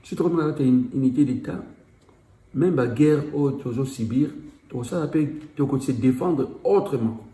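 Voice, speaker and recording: A Congolese-accented man speaks with fervor, driving points home by repeating them. His voice is dark and mellow at a middle pitch. The sound carries a roomy echo. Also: measured pace. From Democratic Republic of the Congo. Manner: exhorting, emphatic, repeats key phrases. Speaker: community speaker. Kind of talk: impassioned public address.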